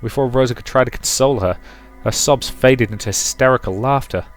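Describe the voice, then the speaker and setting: A man's voice: mid-range voice, fairly quick, faint music behind. A man reading a visual novel aloud as dramatic narration for a let's play. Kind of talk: dramatic storybook-style narration with commentary.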